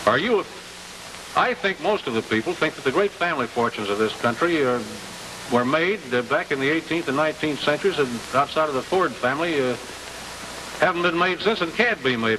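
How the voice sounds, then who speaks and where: An American man hosting a 1950s television interview, asking long questions in a folksy way. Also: fairly high pitch. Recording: noisy, hissy 1950s television broadcast.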